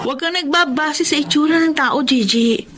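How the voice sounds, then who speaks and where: Sassy filipina bestie, high voice, scolding and teasing, radio drama. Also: female voice, normal speed, a bit bright, slight background noise. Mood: lecturing. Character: blunt, teasing, motherly young female best friend.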